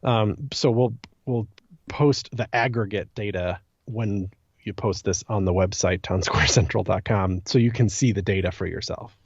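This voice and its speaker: A man with a fairly low voice chats casually on a podcast, thinking out loud in informal, halting phrases. The recording is dry and close, though a little dull and lo-fi. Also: slightly rough, dry recording. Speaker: podcast guest and co-discussant. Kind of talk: casual podcast chat about a game.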